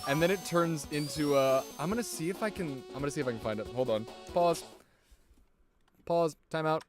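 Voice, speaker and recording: A man streaming a video game, chatting casually with viewers in an excitable, rambling way and swearing often. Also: on the high side, brisk. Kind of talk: casual gameplay commentary. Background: music.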